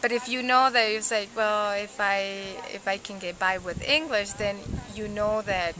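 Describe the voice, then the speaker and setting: A woman's voice: mid-range voice, fairly even intonation, unhurried, rough recording. A woman from Ecuador who teaches Spanish, answering interview questions with enthusiasm and many fillers.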